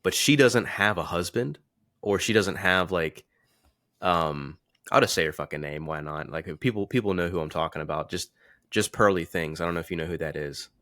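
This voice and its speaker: A man's voice: low voice, moderate pace, a bit bright, no echo, studio quality. A man hosting a podcast in a casual, informal, slangy way. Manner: informal, slangy, occasional swearing. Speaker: podcast host and men's confidence coach.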